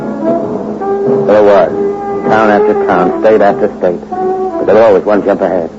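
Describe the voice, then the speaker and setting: A man's voice: on the low side, huge pitch swings, unhurried. An actor playing a desperate young crook in his twenties, narrating in a tense, hardboiled way in a 1940s radio drama. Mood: brooding. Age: 20s. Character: desperate young male crook turned killer. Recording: dull old radio recording with music.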